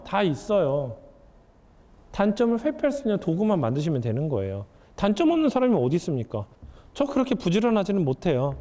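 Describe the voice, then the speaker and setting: Animated man lecturing in a reverberant hall. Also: high voice. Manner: asks the audience questions, conversational and candid. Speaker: author giving a lecture. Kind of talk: lecture to an audience.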